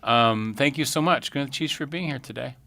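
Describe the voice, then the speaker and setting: A man's voice: medium pitch, fairly quick, vocal fry, sharp tone, no room sound. A man hosting a live radio show, chatting and announcing in a casual way. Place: radio studio. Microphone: close studio microphone.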